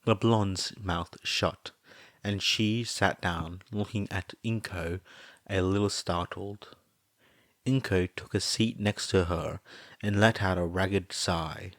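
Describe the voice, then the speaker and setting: A man narrates a fan story in a deep, low voice. He reads slowly and evenly. The recording is dry with no room sound. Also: no room sound. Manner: slow steady reading. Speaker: podfic reader. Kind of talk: fanfiction audiobook narration.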